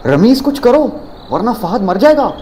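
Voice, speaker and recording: A man's voice: high-pitched, sing-song, measured pace, background noise. A man playing a bold, curious young university student in an audio drama. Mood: alarmed.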